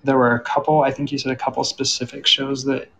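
A man's voice: mid-range voice, flat delivery, fast talker, creaky, a bit bright, breathy edge. A man moderating a panel in a conversational way, feeling out his questions as he speaks. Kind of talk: conversational interviewing.

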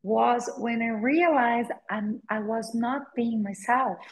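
Brazilian lady talking slow, kinda high voice, lots of uh's and restarts, middle-aged. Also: a little hiss or hum. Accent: Brazilian Portuguese. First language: Portuguese. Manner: hesitant, with frequent "uh" pauses and repeated words. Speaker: guest, attorney and novelist. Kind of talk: conversational interview.

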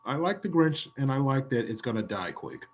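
Man playing a husky, fussy, insistent customer. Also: medium pitch, animated, a little fast, muffled recording. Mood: earnest. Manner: insistent, repetitive. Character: fussy, deadpan male customer with absurd short-term wishes. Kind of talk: improvised comedy scene.

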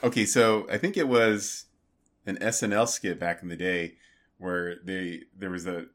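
A man co-hosting a podcast, telling stories in an animated, jokey way. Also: low-pitched, unhurried. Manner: animated, jokey anecdote teller. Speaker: podcast co-host. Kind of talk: casual chat.

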